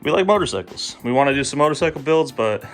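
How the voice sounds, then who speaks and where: A man's voice: medium pitch, lively intonation, fast talker. Foul-mouthed casual male vlogger over music. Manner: foul-mouthed, slangy, self-deprecating. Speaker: vlog host. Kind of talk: casual chat to camera about car and bike builds.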